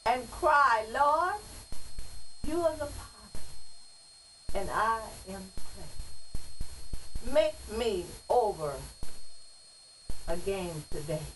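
An older woman, a church guest, speaking devotionally in deliberate, separate phrases as if reciting a poem. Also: a little high, animated, a bit bright. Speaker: church mother, guest reader. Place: small wood-paneled indoor room. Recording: distant pickup with faint noise.

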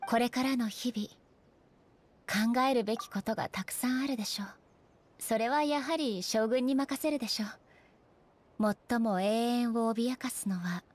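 A woman playing a solemn female ruler deity in a Japanese anime game, speaking in a composed, formal, measured manner. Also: on the high side, close to an everyday voice.

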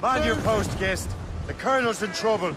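Man acting an earnest, slightly high-voiced young captain. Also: normal speed, natural voice. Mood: urgent. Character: earnest young male ship captain, dry-humored former assassin. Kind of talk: acted game cutscene dialogue. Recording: sea and ship noise behind.

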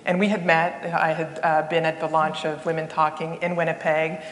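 Woman host from haiti raised in canada, real low voice, lots of uh and restarts, echoey hall. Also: flat delivery, normal speed, slight background noise. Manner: thoughtful, hesitant, frequent restarts and fillers. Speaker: event host and interviewer. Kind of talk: onstage conversation. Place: theater stage, seated in armchair. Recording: long hall reverb.

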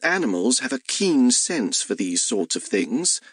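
Guy doing a grumpy english mage, formal n dry witty, slow talker, audiobook. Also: mid-range voice, very animated, a bit bright, dry recording. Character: grouchy English male mage, dryly witty.

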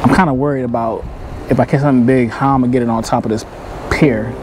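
A man's voice: mid-range voice, steady tone, fast talker, background noise. A middle-aged man chatting casually and in slang to his viewers as a fishing vlogger, recorded outdoors on a pier. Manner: laid-back, slangy. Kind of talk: casual vlog chat to viewers.

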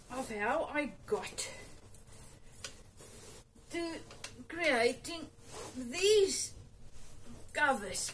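A woman's voice: high-pitched, slight background noise. A woman in her fifties with an Afrikaans accent, chatting her way through a sewing tutorial in her home sewing room, upbeat and full of little exclamations. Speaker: sewing channel host. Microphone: camera on a table, a few feet off.

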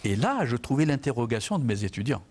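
A man's voice: medium pitch, big pitch swings, quick pace, darkish tone, husky. A man, a guest author in a TV studio interview, reflective and often repeating words as he searches for them. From Algeria. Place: TV studio set, indoors.

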